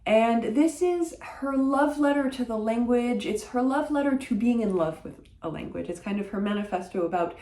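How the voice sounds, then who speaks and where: A woman whose first language is French talks casually to camera about a book she has read, thoughtful and often pausing on fillers. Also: on the high side, lively intonation, mellow. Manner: thoughtful, hesitant, many uh fillers. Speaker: book vlogger. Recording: roomy echo.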